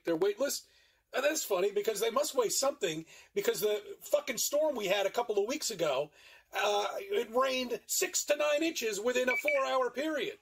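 A man's voice: very high-pitched, expressive, moderate pace, slight background noise, poor audio. An older man rambling sarcastically from inside his car, recorded on a phone camera, repeating himself as he goes. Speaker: flat earth video maker. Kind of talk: rambling monologue.

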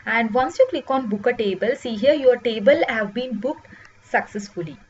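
Lady doing a screen demo, kinda high voice, lots of uh's, muffled lo-fi sound. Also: lively intonation, breathy edge. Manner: explains step by step with frequent "uh" pauses. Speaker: software demo presenter. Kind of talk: screen-recorded product walkthrough. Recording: dull lo-fi voice-over with faint hiss.

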